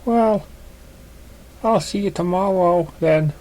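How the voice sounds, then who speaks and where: A man's voice: sits high, takes it slowly, darkish tone, voice pushed up, low-quality recording. A man voicing a friendly, chatty female pegasus pony in a storybook reading.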